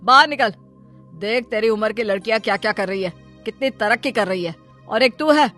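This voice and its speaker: An exasperated middle-aged father as a woman acts him in a cartoon moral story. He is practical and brisk, with a scolding way of talking. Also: fairly high pitch, pushed and bright, soft background music, pitched below the performer's own voice.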